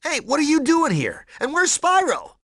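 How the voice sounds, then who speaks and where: A man's voice: very high voice, huge pitch swings, normal speed, gravelly, a bit bright, no echo, studio quality, full character voice. A man voicing a cocky, laid-back young cheetah sidekick in a video game, breezy, slangy and friendly. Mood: alarmed, urgent. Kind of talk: animated video game voice acting.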